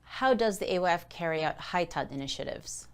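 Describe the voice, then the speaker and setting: A woman's voice: fairly low pitch, lively intonation, fairly quick, clean tone. A woman hosting a news interview, putting formal, prepared questions to a guest. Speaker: interviewer, news program host.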